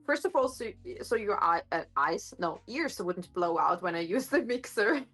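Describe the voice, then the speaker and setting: A woman streamer chatting casually in a home kitchen, her voice a little gruff today, rambling with lots of fillers and self-corrections, picked up by a phone microphone. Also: medium pitch, animated, moderate pace, a bit bright. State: slightly gruff voice. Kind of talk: casual live-stream chat. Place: home kitchen with hard countertops.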